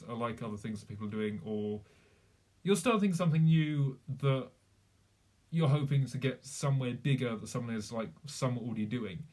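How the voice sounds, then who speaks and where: A man's voice: mid-range voice, a little mellow. A young man chatting casually on a live stream, rambling, swearing freely and laughing often. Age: young adult.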